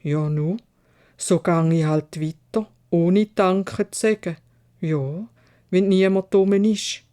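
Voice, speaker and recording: A woman's voice: lowish voice, takes it slowly, dark tone, clear, resonant tone. A woman voicing a humble travelling merchant and loving father in a fairytale, earnest and plain-spoken, with a Swiss German accent. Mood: resigned.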